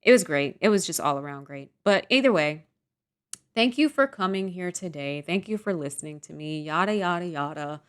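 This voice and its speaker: A young woman vlogger rambling softly and self-deprecatingly through a casual storytime monologue. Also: on the low side, lively intonation, moderate pace, clean tone.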